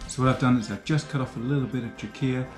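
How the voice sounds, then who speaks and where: A young man explaining biology in a patient, clear teaching manner, recorded in a home kitchen on a camera across the table. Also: medium pitch, fairly even intonation, quick pace, reverberant, music in the background. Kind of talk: educational explainer.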